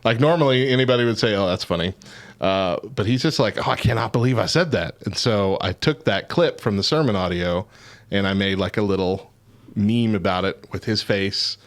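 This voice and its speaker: A man with a slightly low voice hosting a live-streamed chat show. He talks casually and breaks into laughter often. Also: moderate pace.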